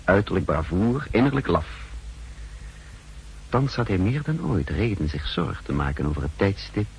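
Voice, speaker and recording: An actor playing a wary, contemptuous secret agent who narrates his mission in a measured, literary way in a 1960s radio drama. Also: deep voice, sing-song. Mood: tense, scornful. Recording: noisy 1960s radio recording.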